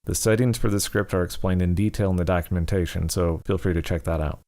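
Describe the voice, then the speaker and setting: A man presenting a step-by-step software tutorial in an informal, instructional way. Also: low voice, fairly even intonation, quick pace.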